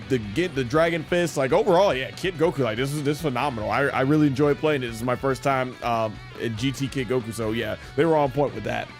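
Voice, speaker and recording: A man streaming a video game, giving casual, rambling, enthusiastic fan commentary as he plays. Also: fairly high pitch. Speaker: gaming streamer. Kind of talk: casual live gameplay commentary. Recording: game music behind the voice. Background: video game music.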